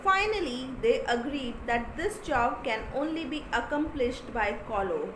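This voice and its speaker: A woman reading a folktale aloud for children with expressive, deliberate, dramatic delivery. She is from India. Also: high voice. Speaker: storyteller. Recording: echoey room with clear background noise.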